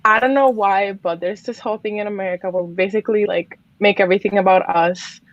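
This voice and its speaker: A woman's voice: medium pitch, steady tone, fast talker, no echo, dull audio. A woman on a casual panel, talking hesitantly with many fillers.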